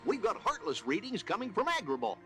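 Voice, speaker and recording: A loyal, eager cartoon sidekick, very high and bright. The pitch leaps about wildly as every word is pushed out with emphasis, over light music. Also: male voice, huge pitch swings, brisk. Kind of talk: animated cartoon acting. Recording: music under the voice.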